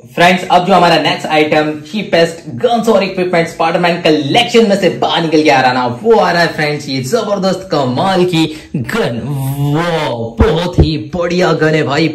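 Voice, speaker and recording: A man with an Indian accent presenting products to viewers in an excitable, gushing way, piling up superlatives. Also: fairly high pitch. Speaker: toy reviewer and presenter. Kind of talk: enthusiastic product showcase to viewers.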